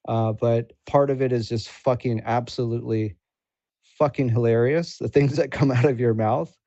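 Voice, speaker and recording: A man chatting casually as a guest, in an informal, halting, slangy way. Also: medium pitch, steady tone, mellow, no room sound, lo-fi sound. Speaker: guest, supporter and content creator. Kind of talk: casual chat.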